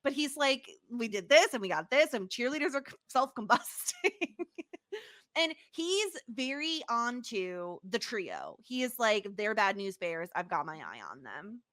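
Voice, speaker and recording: A woman co-hosting a casual podcast recap, retelling scenes with lively quoted asides. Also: a little high, a little slow. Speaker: podcast co-host. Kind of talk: casual chat, TV episode recap.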